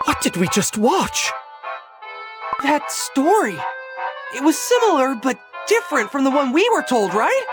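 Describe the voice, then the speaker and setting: Young adventurer cookie, cartoon game: high-pitched, lively, excitable. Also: female voice, unhurried. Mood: puzzled. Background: loud music.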